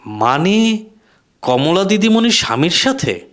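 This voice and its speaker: Man as curious follower, high-pitched. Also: big pitch swings, takes it slowly, a bit bright, exaggerated voice. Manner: inquisitive, respectful. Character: curious, deferential young follower questioning the poet. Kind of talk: Bengali audio drama acting.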